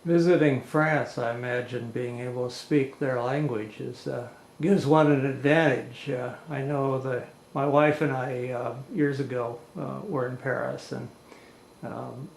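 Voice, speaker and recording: Male interviewer, gravelly voice, friendly rambling questions, roomy sound. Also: medium pitch, fairly even intonation, a little slow, a little hiss or hum. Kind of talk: oral history interview. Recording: roomy audio.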